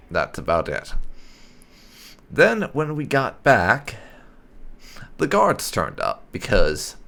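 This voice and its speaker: A man hosting a let's play, talking through the game story in a wry, unhurried way. His fairly low voice has a gravelly edge and swings widely from high to low. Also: fairly bright tone. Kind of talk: casual game recap and commentary.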